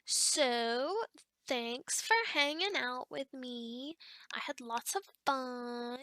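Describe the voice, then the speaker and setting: A woman's voice: sits high, sharp tone, no echo, heavily acted. A woman playing a chatty, fussy sleep paralysis demon in an ASMR roleplay, rambling casually and criticizing playfully straight to the listener.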